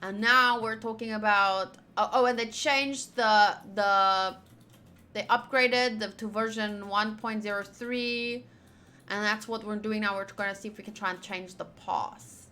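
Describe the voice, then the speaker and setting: A woman's voice: medium pitch, fairly even intonation, unhurried, bright, forceful tone. A woman giving casual visual novel playthrough commentary, chatty and thinking aloud as she reads the text. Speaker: game streamer.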